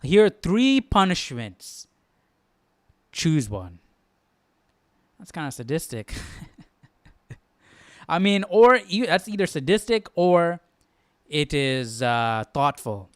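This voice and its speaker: A man's voice: fairly high pitch, very animated, slow, pauses often, no room sound, studio quality. A man hosting a live Bible study, commenting casually and thinking aloud, often checking himself with a questioning tag. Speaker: host.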